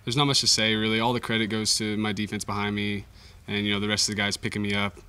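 A young man, a college baseball pitcher, giving modest, understated answers at a post-game press conference. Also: completely flat delivery, fast, sharp tone, smooth. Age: young adult. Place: indoor press conference room. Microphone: table microphone.

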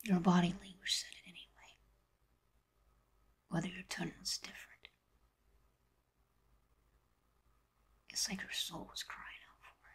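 A woman voicing a teasing, lovelorn teenage superhero boy in a fan audio drama, whispering haltingly. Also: fairly low pitch, creaky, darkish tone, husky, full character voice. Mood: low, earnest.

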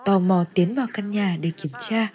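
A woman's voice: medium pitch, normal speed, dark tone. A woman reads a scripted story recap as narrator. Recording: music under the voice. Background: background music.

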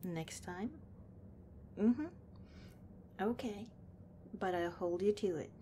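A woman playing an affectionate, shy cop in a soft-spoken, intimate ASMR roleplay, gentle and hesitant with her partner. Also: medium pitch, slow, slight background noise, natural voice. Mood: playful, relaxed.

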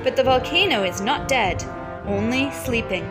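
High-voiced woman reading aloud over loud music. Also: expressive. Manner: measured, deliberate phrasing with pauses. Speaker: read-aloud teacher and storyteller. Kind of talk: expressive read-aloud of a children's picture book. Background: music behind the voice.

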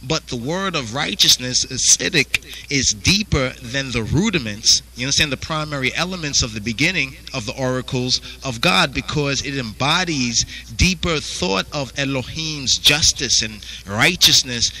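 A man's voice: mid-range voice, expressive, normal speed, sharp tone, low-quality recording. A man teaching a Bible study, reading verses aloud and asking "you understand?" after nearly every phrase. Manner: repeats "you understand?" constantly.

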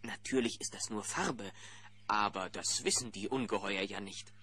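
A man narrating a scripted children's audio play in an animated storytelling style. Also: fairly low pitch, normal speed.

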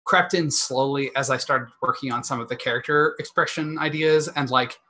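A woman's voice: extremely low pitch, normal speed, bright, forceful tone. A woman from Montreal, a game designer, answering interview questions over a video call with dry understatement. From Montreal, Canada. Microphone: headset on video call.

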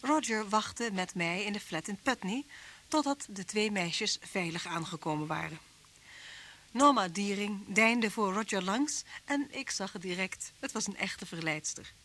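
A woman's voice: mid-range voice, unhurried, fairly bright tone. An actress playing a motherly, good-humoured and capable inspector's wife in a radio drama. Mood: narrating. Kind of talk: radio drama acting and narration. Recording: old hissy radio recording.

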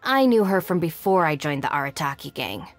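A woman's voice: deep voice, big pitch swings, brisk. A woman playing a capable, composed young deputy gang leader in a video game, speaking in a dry, self-assured, matter-of-fact way. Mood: mildly surprised. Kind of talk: scripted game character monologue. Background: background music.

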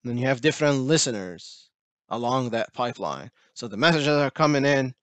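A man's voice: medium pitch, lively intonation, moderate pace, a bit bright, no echo. A man giving a technical talk over slides, explaining step by step with frequent "uh" fillers. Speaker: presenter.

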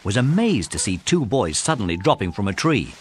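A man's voice: lowish voice, very animated, fairly quick, slightly rough. A man reading a scripted children's adventure story as narrator.

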